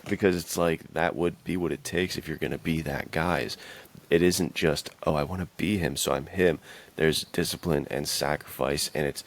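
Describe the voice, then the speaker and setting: A man's voice: rumbling low, fairly even intonation, moderate pace. A man hosting a podcast, chatting casually in an earnest, reflective and informal manner. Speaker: podcast host, adventure fiction author. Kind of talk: casual chat about writing and self-discipline.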